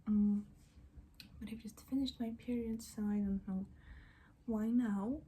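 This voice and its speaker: Soft-spoken, slow non-native English woman. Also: medium pitch, fairly even intonation, slight background noise. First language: not English. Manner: soft, often half-whispered, rambling. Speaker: beauty and book vlogger. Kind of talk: get-ready-with-me chat. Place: at home in front of a mirror.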